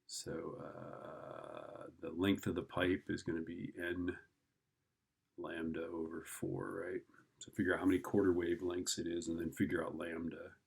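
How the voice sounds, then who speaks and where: Male teacher, low creaky voice, informal tutoring delivery, thinks out loud and checks in often. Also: lively intonation, unhurried. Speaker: physics teacher. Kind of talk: informal lesson, working through an exam problem.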